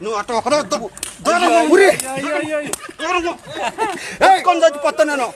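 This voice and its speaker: A man's voice: extremely high pitch, lively intonation, moderate pace. A man delivering comic film dialogue. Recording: music under the dialogue.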